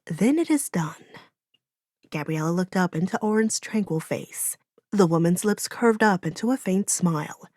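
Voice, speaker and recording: A woman's voice: fairly low pitch, moderate pace, a little mellow, dry recording, studio quality. A woman reading a fantasy audiobook as narrator, in dramatic, solemn storytelling.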